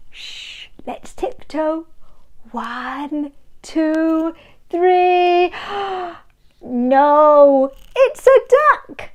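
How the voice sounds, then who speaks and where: Slow sing-song woman reading. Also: high-pitched, a little mellow. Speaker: storybook reader. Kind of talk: reading a picture book aloud to small children.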